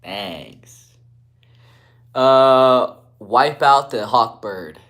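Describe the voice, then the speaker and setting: A man's voice: mid-range voice, animated, very slow. Laid-back young man chatting on a livestream. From Guatemala. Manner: laid-back, says bro and dude a lot, often trails off quietly. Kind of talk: casual live-stream chat reading comments. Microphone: phone propped on a ledge.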